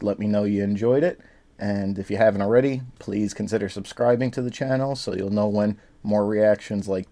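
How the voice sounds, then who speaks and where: A man hosting a reaction video in easygoing, rambling casual chat, a bit raspy and stuffed up from allergies. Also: fairly low pitch, warm tone. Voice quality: slightly raspy. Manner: easygoing, rambling with frequent fillers.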